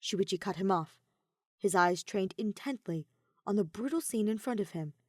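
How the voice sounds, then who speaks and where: A woman's voice: mid-range voice, animated, normal speed, no room sound, studio quality. A woman reading scripted fiction as an audiobook narrator in a dramatic style.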